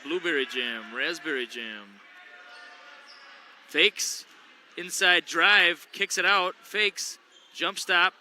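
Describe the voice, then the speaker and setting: A man's voice: a little high, takes it slowly, long pauses, pushed and bright, slight background noise. A man doing chatty, joking play-by-play of a local school basketball game from the gym. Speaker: play-by-play announcer. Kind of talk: local sports commentary. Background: gym ambience, bouncing basketball.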